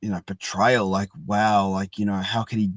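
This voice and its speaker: A man hosting a casual chat, talking sympathetically and haltingly, with many filler phrases as he reassures his guest. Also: on the low side, flat delivery, dry recording. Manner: halting, sympathetic, leans on "like" and "you know". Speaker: podcast host. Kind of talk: casual supportive interview chat.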